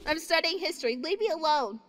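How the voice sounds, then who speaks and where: Woman as a high, bright, whiny bookish girl. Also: animated, brisk. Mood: dismissive. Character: clingy, dramatic bookish young girl obsessed with romance. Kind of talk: cartoon parody voice acting.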